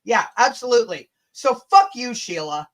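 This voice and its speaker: A woman's voice: fairly high pitch, sing-song, normal speed. A woman hosting a casual livestream rant, blunt, combative and sweary, with chatty asides to her viewers. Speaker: livestream host.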